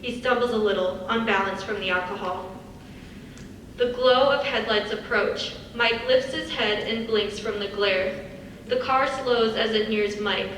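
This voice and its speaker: A woman's voice: a little high, measured pace, fairly bright tone. A woman reads stage directions as the narrator of a live dramatic script reading. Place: large echoey indoor hall on stage. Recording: very echoey hall, distant mic, faint noise.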